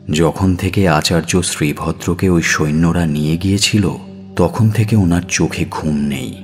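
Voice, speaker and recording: Very deep, slow male storyteller. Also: a little mellow. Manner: measured, dramatic. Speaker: narrator. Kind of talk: scripted dramatic story narration. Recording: music bed under narration.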